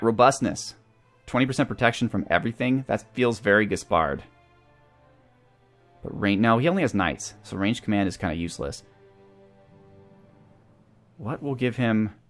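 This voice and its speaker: Low-voiced man commentating a strategy game. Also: expressive, moderate pace, pauses often, fairly bright tone. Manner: talkative, thinking aloud. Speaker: gaming channel host. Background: video game music.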